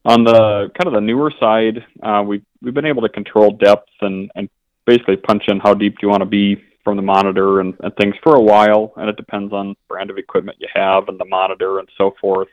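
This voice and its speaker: A man's voice: lowish voice, no echo. A man from an equipment dealership, explaining things as a radio guest and often restarting his sentences. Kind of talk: interview answers. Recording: dull, lo-fi line.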